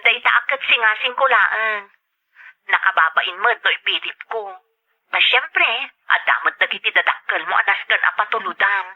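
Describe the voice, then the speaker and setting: A woman's voice: mid-range voice, normal speed, lightly acted. A woman with an Ilocano accent playing a supportive young sweetheart in a radio drama. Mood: coaxing. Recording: dull, band-limited lo-fi sound.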